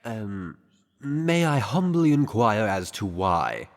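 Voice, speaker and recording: A smooth-tongued, condescending chamberlain, played by a man in a fan-made radio play. He speaks formally and measuredly in a fairly low voice, with long reverb around him. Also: big pitch swings, slow pace, full character voice. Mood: formal, deferential. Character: pompous, smooth-tongued male royal ambassador and chamberlain.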